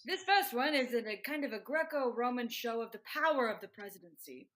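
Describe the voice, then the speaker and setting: A woman's voice: on the high side, expressive, brisk, a bit bright. A woman playing an earnest, polite male committee adviser in a radio comedy sketch, speaking formally and tactfully. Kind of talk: old-time radio sketch comedy parody.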